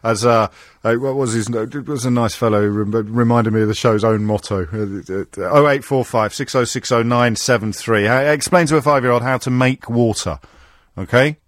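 A man's voice: mid-range voice, normal speed, dry recording. A middle-aged man hosting a radio phone-in, dry and teasing, with a self-mocking humour. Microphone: studio microphone.

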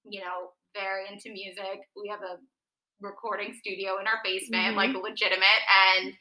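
A woman's voice: mid-range voice, flat delivery, normal speed, pushed and bright, roomy sound, low-quality recording. A woman guest on a podcast, chatting with lots of fillers and laughing asides. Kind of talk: casual chat.

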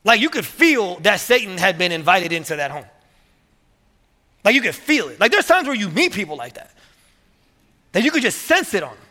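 A man preaching a sermon as pastor to his church, energetic and emphatic, often repeating words as he builds to strong points. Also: sits high, normal speed, pauses often. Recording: long hall reverb.

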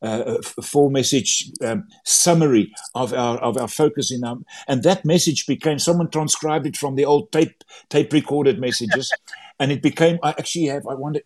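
An eager man with an Afrikaans accent, speaking English as his second language at an ordinary mid pitch. He chats freely as a guest, repeating and restarting words as his thoughts run ahead of him. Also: moderate pace, a little mellow. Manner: enthusiastic, many stumbling repeats and restarts. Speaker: author and Bible translator. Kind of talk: casual interview conversation.

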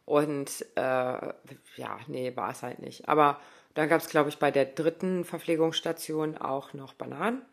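A woman's voice: sits low, a little slow, vocal fry. A woman in her thirties talking casually in a spoken diary, rambling with lots of fillers and asides. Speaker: running podcaster.

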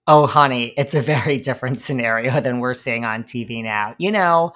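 A man who grew up between Connecticut and New York talks as a podcast guest, with a light New York accent. He rambles in a chatty, campy way and circles back over his own points. His voice sits at a middling pitch. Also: normal speed, fairly bright tone. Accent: slight New York. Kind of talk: casual interview chat.

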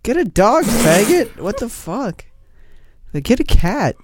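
A man's voice: sits high, very animated, slow, loud music behind the voice. A man co-hosting a podcast, reacting with profane outrage and mockery. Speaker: podcast co-host. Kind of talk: casual podcast banter.

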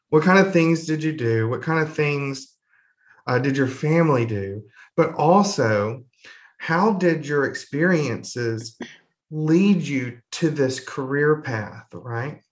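A man's voice: medium pitch, slow. A man hosting a podcast, asking casual, inquisitive questions and often checking with "right?". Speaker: podcast host. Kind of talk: interview questions.

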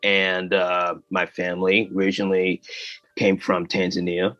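A man in his twenties, a guest on a podcast, talking in a relaxed, hesitant way with lots of fillers. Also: deep voice, unhurried, no room sound, highs cut off. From United States. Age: 20s. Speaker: animator and guest. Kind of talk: casual interview chat.

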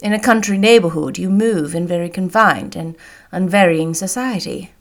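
A woman voicing a reserved, haughty young gentleman in a period novel, formal and restrained. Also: on the low side, normal speed, lightly acted. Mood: aloof.

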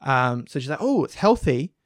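A man co-hosting a podcast with a croaky voice, explaining things at length in a casual chat with frequent restarts. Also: mid-range voice, big pitch swings, moderate pace, no room sound, studio quality. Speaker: podcast co-host. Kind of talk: casual discussion.

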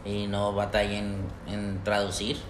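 A young Mexican-American man with a low voice co-hosts a laid-back podcast, hesitating often and slipping into English. His voice sits in a roomy echo with a faint hiss of background noise. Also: monotone. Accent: Mexican-American Spanish, Spanglish. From United States. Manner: halting, many fillers, mixes English into Spanish. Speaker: podcast co-host. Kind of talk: casual bilingual chat. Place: workplace.

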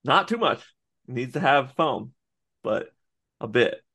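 Gravelly American man telling stories. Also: mid-range voice, big pitch swings, a little slow, sharp tone. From United States. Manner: laughs often, rambling storytelling with restarts. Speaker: podcast co-host. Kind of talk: casual chat.

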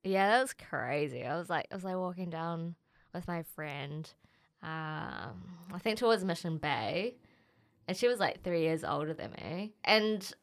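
A woman's voice: on the low side, animated, unhurried. A woman from New Zealand with a Kiwi accent, a music journalist guest chatting casually and giggling a lot. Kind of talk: casual interview talk.

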